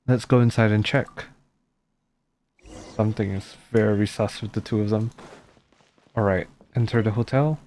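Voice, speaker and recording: A man's voice: on the low side, steady tone, moderate pace, pauses often, darkish tone. A man commentating casually on a game playthrough, reading out text and adding informal, reactive asides. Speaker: game streamer.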